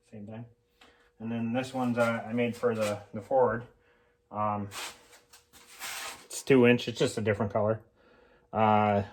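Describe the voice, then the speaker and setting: Man giving a halting, casual how-to. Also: medium pitch, a little hiss or hum. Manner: halting, informal, thinking aloud. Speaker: hobbyist mechanic presenting a homemade tool demonstration. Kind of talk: casual unscripted how-to explanation.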